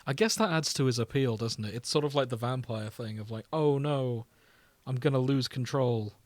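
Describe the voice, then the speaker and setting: Male podcast host, medium pitch, informal and joking, very little room sound. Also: normal speed, darkish tone, no echo. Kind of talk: casual chat.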